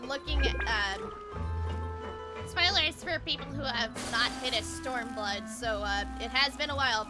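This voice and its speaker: High-voiced woman chatting on a game stream. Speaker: livestream host. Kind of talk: casual gaming livestream chat. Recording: game music behind the voice. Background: video game music and dialogue.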